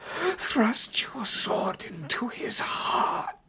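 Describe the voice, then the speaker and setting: A dying scientist of audio drama, feverish and vengeful. The words come very slowly in a weak, gasping whisper, halting and close to tears yet urgently pleading. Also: female voice, fairly high pitch, very animated, sharp tone, exaggerated voice. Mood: desperate, vengeful. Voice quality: breathy, whispering.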